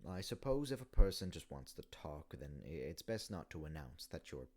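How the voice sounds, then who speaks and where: A man voicing an elderly, melancholy hippopotamus from a video game, stammering and rambling on slowly and wistfully. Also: extremely low pitch, sing-song, a little mellow, full character voice. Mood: nostalgic, musing. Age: older. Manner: hesitant, stammering, long-winded rambling. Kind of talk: video game character monologue.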